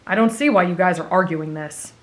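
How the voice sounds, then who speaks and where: A woman's voice: fairly low pitch, a little fast, voice pushed down, close to an everyday voice. Woman voicing a whiny, skeptical anime boy. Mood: dismissive. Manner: petulant, exasperated. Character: whiny, scheming, skeptical anxious teenage boy. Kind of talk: anime fan roleplay.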